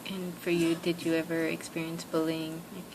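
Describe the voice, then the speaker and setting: A woman's voice: low voice, monotone, normal speed. A young woman interviewing for an oral history project, asking hesitant questions full of fillers and "like". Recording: noisy recording with room hiss.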